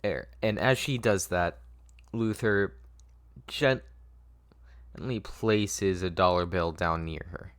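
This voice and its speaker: A man with a low voice narrates a script at a table read. He goes slowly and haltingly, often stumbling and correcting himself. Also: clean tone. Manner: halting, stumbling over the text.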